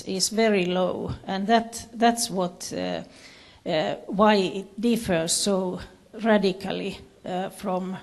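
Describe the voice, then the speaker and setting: A woman with a Finnish accent giving a presentation in English as a second language, haltingly searching for her words. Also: medium pitch, creaky, a little mellow. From Finland. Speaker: conference speaker, education official. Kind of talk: presentation to an audience with slides.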